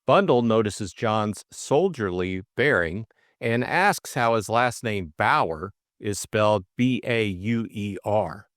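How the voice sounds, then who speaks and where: Expressive male audiobook narrator, dry sound. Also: lowish voice, takes it slowly, dry recording. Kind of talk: scripted story narration.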